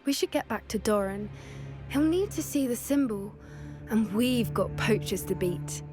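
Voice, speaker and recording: Teenage witch girl, British accent, higher voice, earnest animal lover, articulate, video game cutscene, faint music. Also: animated, measured pace. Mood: warm, grateful. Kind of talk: scripted video game dialogue acting.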